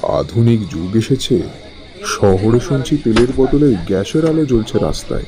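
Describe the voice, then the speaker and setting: A man's voice: medium pitch, expressive, moderate pace, a crowd in the background, close to an everyday voice. A man playing a grumbling, superstitious old villager in an audio story, grave and chiding toward the young. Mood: grave, reproachful.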